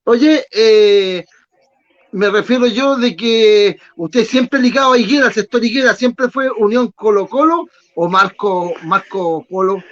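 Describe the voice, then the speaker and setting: A man hosting a casual interview, chatty and quick with short acknowledgments. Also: high voice, a bit bright. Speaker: radio show host. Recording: dull lo-fi sound.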